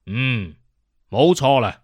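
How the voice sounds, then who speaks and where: A man's voice: medium pitch, animated, slow pace, dry recording, studio quality. A man playing a witty, conceited detective consultant in an audiobook, with a Cantonese accent and an emphatic, lecturing, self-assured manner. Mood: confident deducing. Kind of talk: audiobook character acting.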